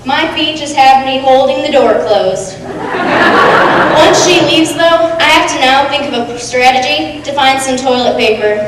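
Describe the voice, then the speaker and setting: Young woman, high voice, comic storytelling, student theatre, very reverberant hall. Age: teens to young adult. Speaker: student performer. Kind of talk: readers theatre monologue. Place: school auditorium stage. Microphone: stand mic, heard from distant camera. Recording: echoey hall, distant, faint noise.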